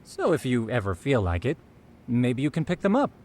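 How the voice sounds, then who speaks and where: Wanted: polite, brisk helper in a video game, mid-range, highly inflected, slight background noise. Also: male voice, huge pitch swings, a little mellow. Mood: helpful. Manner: polite, formal, explanatory. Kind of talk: English video game dub acting.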